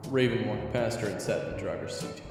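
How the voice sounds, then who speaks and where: A man's voice: fairly low pitch, brisk, heavy reverb, in a large hall, loud music behind the voice. A man reading scripted story narration as the narrator of an audio roleplay.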